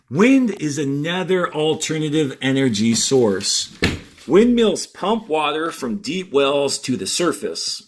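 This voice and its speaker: Animated male teacher reading aloud. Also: medium pitch, slightly rough. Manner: deliberate, instructional, emphasizes key words. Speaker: fourth-grade teacher. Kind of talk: reading a textbook aloud with instructional asides.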